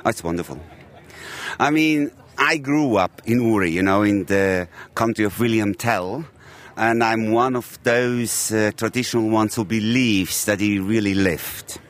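A man from Switzerland telling a personal story in an outdoor street interview, chatty and warm. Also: lowish voice, unhurried. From Uri, Switzerland. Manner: chatty storyteller, says "you know". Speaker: member of the public. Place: outdoors at a gathering.

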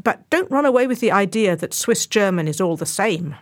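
A woman reporter narrating a scripted radio feature in a clear, explanatory way. Her voice sits at an everyday middle pitch, steady and composed. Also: a little fast.

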